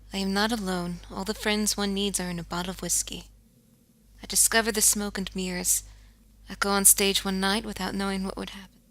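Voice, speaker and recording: An actress voicing a grieving jazz singer who narrates her own story in a quiet, bitter, world-weary way, often sinking to a whisper. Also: medium pitch, natural voice. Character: grieving, haunted woman jazz singer seeking revenge. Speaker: game character. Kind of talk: scripted game voice acting, confessional narration. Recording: faint music underneath.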